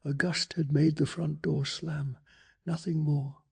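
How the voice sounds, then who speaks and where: A man narrating a bedtime story in a soft-spoken ASMR style, calm, hushed and deliberate. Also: fairly high pitch, gravelly, husky.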